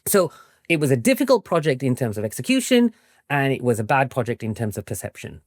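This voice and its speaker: Fast, animated man explaining in an interview. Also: mid-range voice, no room sound, clean studio sound. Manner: animated, informal, often checks with "right?". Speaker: interview guest, historian and author.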